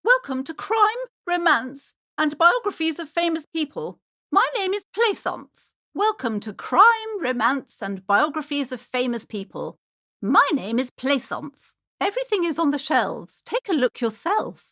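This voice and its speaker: A high, swooping voice for a prim middle-aged bookshop owner. She is a strict, superstitious mother with a haughty, easily offended manner. Her delivery is theatrical video game acting, full of emphatic turns. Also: sing-song, a little slow, pushed and bright, exaggerated voice. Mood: proud salesmanship.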